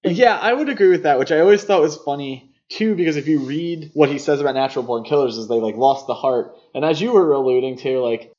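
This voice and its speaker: A man's voice: fairly high pitch, fast talker, few pauses, a little mellow. A man co-hosting a podcast, talking casually and giving his opinions on films in a conversational way. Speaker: podcast co-host. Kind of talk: casual film discussion. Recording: slightly dull lo-fi podcast audio.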